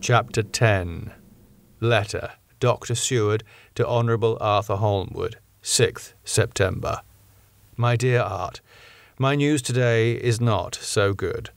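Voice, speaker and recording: A man's voice: fairly low pitch, slow pace, vocal fry, no echo. Man narrating, close and dry. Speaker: audiobook narrator. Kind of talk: scripted narration of a classic novel.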